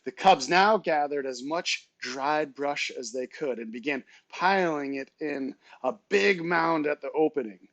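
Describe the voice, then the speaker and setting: A man reading a children's novel aloud like a teacher, with expressive, dramatic storytelling. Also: fairly high pitch, unhurried, few pauses, no echo.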